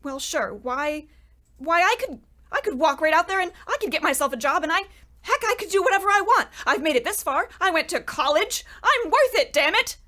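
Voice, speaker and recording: Paranoid, jobless radio-comedy heroine, played by a woman: bright high voice, wildly animated, flustered and melodramatic. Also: brisk, heavily acted. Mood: talking herself up. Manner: theatrical, flustered old-radio exclamations. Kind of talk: comedic old-time radio play acting.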